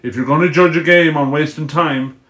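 A man's voice: a little high, fairly even intonation, fairly quick, fairly bright tone. A man chatting casually with friends, rambling and hesitant as he gives his opinion. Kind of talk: casual banter among friends.

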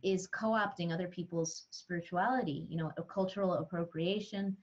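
A woman's voice: on the low side, fairly even intonation, normal speed, dull audio. A middle-aged woman, a podcast guest, telling her story in an earnest, frank way. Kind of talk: candid interview talk.

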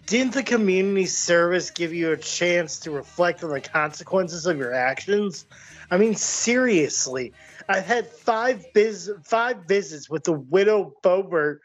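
A man's voice: high voice, heavily acted. A man playing a lecherous, exasperated, wisecracking wrestler in a comedic audio play. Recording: muffled, music underneath.

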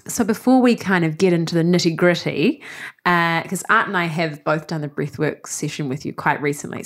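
A woman's voice: low-pitched, dry recording. Enthusiastic New woman co-host. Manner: enthusiastic, upbeat. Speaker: podcast co-host. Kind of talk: casual podcast chat.

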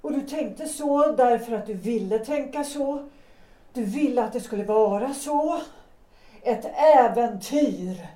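An actress voicing Gertrud, a passionate woman pleading for love in an audio drama, hushed one moment and emphatic the next. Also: fairly high pitch, animated, lightly acted. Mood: bitter. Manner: intense, pleading, shifts between hushed and emphatic. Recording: roomy echo.

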